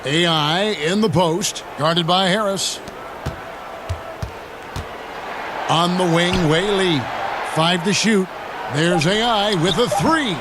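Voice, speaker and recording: An American man playing a brisk, composed TV play-by-play announcer in a basketball video game. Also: high-pitched. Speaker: play-by-play commentator. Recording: game audio with loud crowd and court noise.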